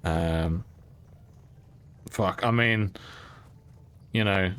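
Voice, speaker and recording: A man's voice: low voice, extremely slow, fairly bright tone, smooth. A young man telling a rambling personal story casually while gaming, candid and informal with some casual swearing. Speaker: YouTube content creator.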